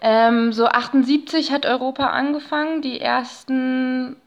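A woman's voice: high voice, steady tone, measured pace, dull audio. A woman with a German accent, talking casually as an interview guest and explaining her research.

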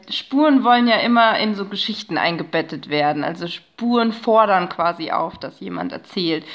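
A woman's voice: mid-range voice, fairly bright tone. A woman giving casual, explanatory interview answers as a podcast guest, thinking aloud and searching for words as she goes. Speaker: podcast guest, narratology researcher and improv performer.

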